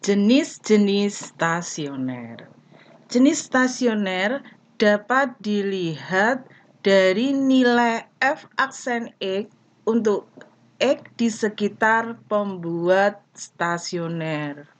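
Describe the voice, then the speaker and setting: A woman giving a math lesson, explaining step by step in short, deliberate phrases. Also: mid-range voice, animated. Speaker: math teacher. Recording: dull lo-fi recording.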